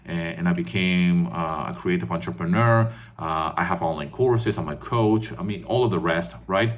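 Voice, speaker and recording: A man in his fifties with a fairly low voice, a composer from Venezuela vlogging to his viewers. He talks earnestly and loosely, repeating words as he restarts and tagging his points with "right?". It sounds like a phone recording. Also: normal speed. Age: 50s. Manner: earnest, repetitive restarts, frequent "right?" tags. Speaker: composer and online music educator. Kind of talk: casual talking-head vlog.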